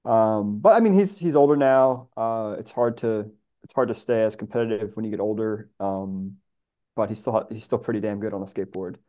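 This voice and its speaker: Mellow-voiced American man, casual. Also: fairly low pitch, moderate pace. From New York, USA. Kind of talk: casual chat.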